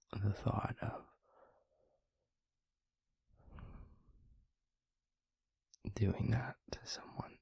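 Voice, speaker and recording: A very husky, breathy vampire boyfriend, acted by a man, confides in a slow, halting half-whisper. His low voice crackles with gravelly fry, brooding yet gentle, as in an intimate audio roleplay. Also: no room sound, exaggerated voice. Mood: pained, hushed. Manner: halting, hushed, earnest, with long pauses. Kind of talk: intimate audio roleplay, soft confessional monologue.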